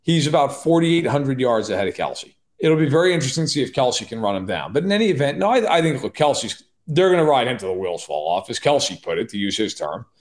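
A man giving quick, opinionated sports analysis as a podcast guest, with wry joking asides. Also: medium pitch, sing-song. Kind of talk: casual sports analysis chat. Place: live-streamed podcast.

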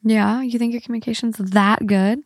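A woman co-hosting a casual podcast, reading long online posts aloud in an even, steady way and chatting between them. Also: fairly high pitch, moderate pace, no echo. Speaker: podcast co-host.

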